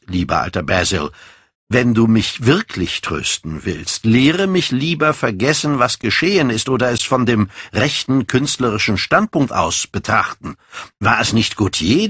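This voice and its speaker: A male reader plays a vain, self-absorbed young man in an audiobook. His delivery swings widely in pitch, haughty and declamatory, with a slightly husky grain. The sound is close and dry. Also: animated, moderate pace, a bit bright, dry recording. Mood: airy, reproachful. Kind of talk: dramatic audiobook character reading.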